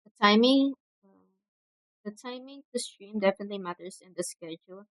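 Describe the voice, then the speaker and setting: A young woman from the Philippines, soft-spoken and hesitant, chatting casually on a home livestream through a cheap mic. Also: medium pitch, brisk, dry recording, rough recording. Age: young adult. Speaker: streamer. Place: home room. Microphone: cheap desk mic.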